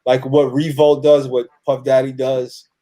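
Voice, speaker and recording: A man from New York speaking as a cast member in a casual interview, halting and slangy. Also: mid-range voice, little pitch movement, warm tone. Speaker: audio drama cast member being interviewed.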